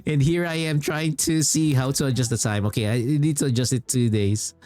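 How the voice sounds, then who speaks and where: A man streams casually about games with music playing behind him. His talk rambles along in an informal way, and he often repeats a phrase to drive it home. His voice sits at an ordinary mid-range pitch for a man. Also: fairly even intonation, brisk, darkish tone, no echo. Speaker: game streamer.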